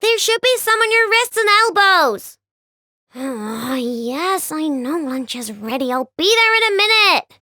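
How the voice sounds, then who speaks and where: A woman's voice: extremely high pitch, very animated, a little slow, sharp tone, no room sound, clean studio sound, exaggerated voice. An actress voicing a cheerful, playful young girl inventor who loves sweets, in bouncy, childlike game voice lines. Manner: playful, wheedling, with gasps and giggles. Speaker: game character voice.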